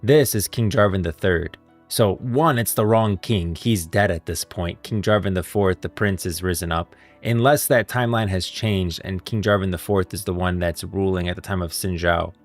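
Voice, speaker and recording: A man's voice: low voice, moderate pace. A man hosting a livestream with casual lore commentary, rambling emphatically and repeating his points. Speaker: livestream host. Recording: music playing behind. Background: background music.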